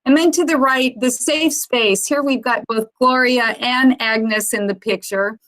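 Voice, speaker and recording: A woman giving an informal, unscripted presentation on an online conference call, speaking in a halting, reflective way as an organisation's founder. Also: a little high, fairly bright tone, low-quality recording.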